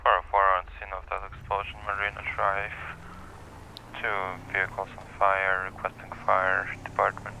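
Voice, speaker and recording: A man's voice: low-pitched, completely flat delivery, moderate pace, gravelly, pushed and bright, rough recording. A man giving clipped police radio reports as a roleplayed officer. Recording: radio transmission with faint background noise.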